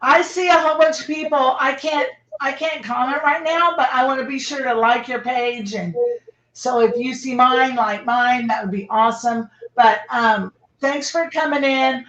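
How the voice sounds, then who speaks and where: Eager high-voiced woman in her fifties. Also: steady tone, normal speed, a bit bright, echoey room, dull audio. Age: 50s. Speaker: guest, branding coach. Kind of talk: conversational promotional talk.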